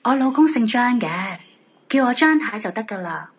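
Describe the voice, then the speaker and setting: A woman's voice: fairly high pitch, lively intonation, normal speed, breathy edge. A woman playing an anxious, polite, haunted wife in a radio drama, earnest and pleading, with a Hong Kong Cantonese accent.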